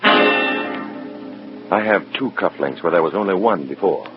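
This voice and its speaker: An actor playing a weary, conscience-torn American undercover agent, narrating in a brooding, clipped hard-boiled style of old radio drama. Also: male voice, low-pitched, sing-song, takes it slowly, heavily acted. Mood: narrating grimly. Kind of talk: mid-century radio drama acting, first-person narration. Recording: muffled old radio recording with music.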